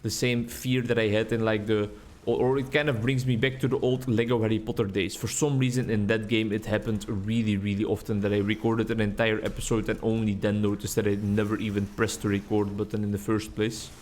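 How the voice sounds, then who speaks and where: A man's voice: fairly low pitch, level tone, a little fast, a little mellow, a little hiss or hum. A Dutch man, a native Dutch speaker, giving rambling, chatty gameplay commentary in English on a desk mic. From Netherlands. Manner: rambling, chatty, self-deprecating. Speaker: gaming YouTuber.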